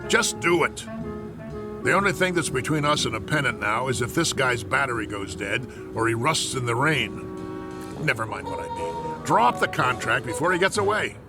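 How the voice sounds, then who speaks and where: A blustery American baseball manager, played by a man, gruff and shrewd. His sarcastic, slangy talk swings freely in pitch at a middling register, radio drama style with music behind. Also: animated, moderate pace, natural voice. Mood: urgent, brisk.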